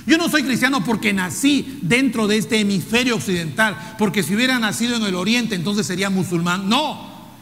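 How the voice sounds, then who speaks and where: Peruvian pastor in his fifties, lively and bright. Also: moderate pace. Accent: Peruvian Spanish. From Peru. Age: 50s. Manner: rhetorical questions to the congregation, repeating key phrases; jokes with the audience, drops to soft low tones for emphasis. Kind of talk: sermon. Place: church hall. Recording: reverberant church hall.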